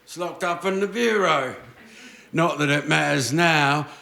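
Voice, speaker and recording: A man's voice: a little high, a little slow, creaky, pushed and bright, heavily acted. A man playing a cantankerous, sardonic old father in a stage radio comedy, blustering and grumbling. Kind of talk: stage radio-play acting in old-fashioned domestic comedy. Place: theatre stage before an audience. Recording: roomy live theatre stage recording.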